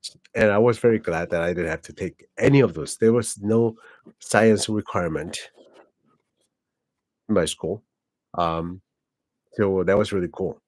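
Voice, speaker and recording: Low-voiced Korean-accented man rambling. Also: darkish tone, no room sound. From South Korea. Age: 40s. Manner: rambling, many fillers and self-corrections. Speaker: channel host. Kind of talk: casual personal vlog monologue.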